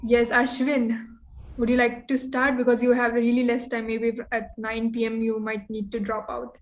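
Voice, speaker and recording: A woman's voice: on the high side, flat delivery, moderate pace. A woman with an Indian accent hosting a casual online meeting, heard over a noisy video call. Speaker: meeting host.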